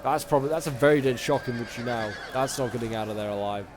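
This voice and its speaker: Male game commentator with a sore throat, mid-pitched, chatty and rambling, faint hiss under the voice. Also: fast talker. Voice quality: slightly hoarse. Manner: casual, rambling, many fillers. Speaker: gaming YouTuber casting a multiplayer battle.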